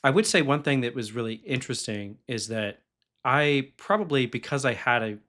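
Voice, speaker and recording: A man co-hosts a podcast in casual conversation. He answers in a friendly, thoughtful way and keeps a measured tone. His voice sits at a middle pitch. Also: fairly bright tone. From Kansas City, Missouri, USA. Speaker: resident scholar, co-host.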